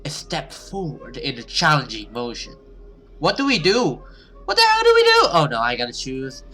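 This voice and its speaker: A man doing expressive let's play commentary and dramatic read-aloud of a horror visual novel as a gaming host. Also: a little high, normal speed, soft background music. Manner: expressive, reactive, sweary.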